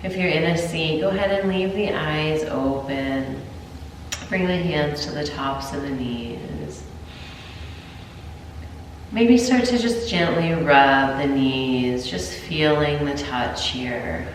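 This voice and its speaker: A woman with a sinus cold leading a gentle yoga class, her voice congested and low, calm cues broken by throat clearing. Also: slow, distant mic, a little hiss or hum. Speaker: yoga instructor. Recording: roomy echo, voice from afar.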